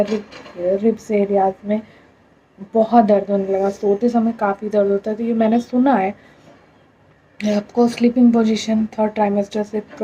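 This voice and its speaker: A hoarse young woman with an Indian accent talks to camera in a tired, plaintive way, her voice husky and very dark, flat in tone. The sound is dull and lo-fi. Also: medium pitch. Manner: tired, plaintive, mixes English words. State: hoarse, throat infection. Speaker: vlogger. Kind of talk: casual talk to camera.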